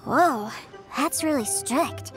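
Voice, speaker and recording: Very high-pitched excitable little child. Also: female voice, takes it slowly, full character voice. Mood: wide-eyed. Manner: bright-eyed, childlike exclaiming. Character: naive, excitable little child in anime game. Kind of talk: English-dubbed anime game acting. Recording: loud game music behind. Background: game music.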